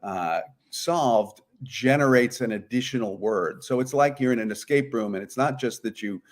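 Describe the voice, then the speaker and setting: A man's voice: medium pitch, moderate pace. A man speaking as an interview guest, giving conversational, explanatory answers. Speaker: guest, puzzlemaker.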